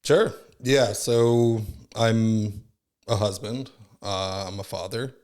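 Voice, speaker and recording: A man's voice: fairly low pitch, fairly even intonation, takes it slowly, darkish tone, clear, resonant tone. A man in his thirties from Southern California, a podcast guest telling his story in a rambling, casual way full of fillers. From Southern California, born in Finland. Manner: rambling, many fillers like "like" and "right?". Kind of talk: casual reflective chat.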